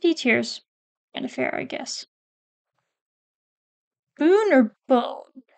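A woman with a high voice and a gravelly fry chats casually through a ranking, trailing off and restarting as she reads lines aloud. The sound is close and dry, with no room around it. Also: lively intonation, extremely slow, no echo. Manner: chatty, hesitant, self-correcting, reads quotes aloud. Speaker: PNGtuber ranking game characters. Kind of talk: casual commentary while reading a wiki.

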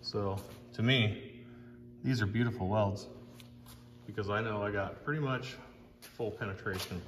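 A man's voice: on the low side, unhurried. A man in his thirties, a fabrication shop owner, narrating a casual shop vlog in a blunt, wry, plain-spoken way with some casual swearing. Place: large metal fabrication shop indoors. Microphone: camera mic, often across the shop. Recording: echoey shop, clear background noise.

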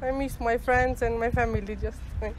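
A woman's voice: on the high side, fairly even intonation, moderate pace, background noise. A young woman, in English, giving chatty, giggly answers in a street interview. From North Macedonia.

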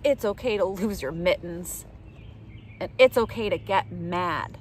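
A middle-aged woman reading a picture book aloud to young children in an animated, direct way, recorded outdoors in the woods. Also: on the low side, unhurried. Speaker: children's storytime reader. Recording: outdoor ambient noise.

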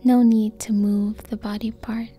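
Soft whispery lady doing yoga nidra, warm relaxed tone, super calm, faint music behind her. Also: on the high side. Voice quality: soft, breathy, near-whispered. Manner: calm, gentle, long pauses between short phrases. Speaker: yoga nidra meditation guide. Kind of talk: slow guided sleep meditation.

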